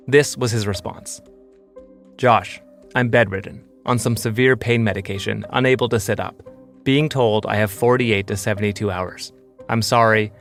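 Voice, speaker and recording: Gravelly-voiced male documentary narrator. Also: mid-range voice, a bit bright. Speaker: podcast host and investigative reporter.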